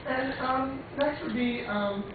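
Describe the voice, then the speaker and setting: A woman's voice: sits high, takes it slowly. A woman in her twenties from Long Island gives an informal, rambling personal presentation in a conversational way, with many likes. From Long Island, United States. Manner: rambling, conversational, uses "like" often. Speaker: student presenter, creative trainer. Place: echoey room, recorded from far off. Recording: muffled lo-fi, echoey room, distant mic, noisy.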